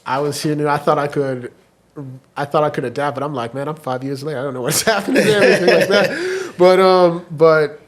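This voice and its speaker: A young man from Washington, D.C., a guest on a podcast, telling his story in relaxed, rambling chat with plenty of fillers. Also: fairly high pitch, a little fast, a crowd in the background. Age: young adult. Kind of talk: casual interview chat.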